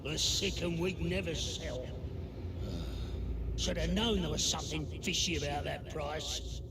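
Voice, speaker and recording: An actor playing a coarse, cruel shopkeeper and slave trader in a radio drama, gravelly and rough-spoken. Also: male voice, fairly high pitch, slow pace, heavy background noise. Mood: contemptuous, angry. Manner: rough colloquial speech, insults. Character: coarse, cruel slave-trading shopkeeper who fawns on nobility. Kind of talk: scripted radio drama acting.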